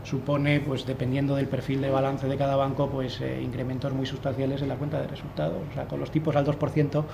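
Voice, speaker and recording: A man's voice: mid-range voice, flat delivery, fast talker, gravelly, few pauses, noisy background. A man in his thirties speaking on a panel in a conference room, explaining his analysis with many restarts. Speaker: fund manager on a panel. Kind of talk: panel discussion. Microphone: desk microphone.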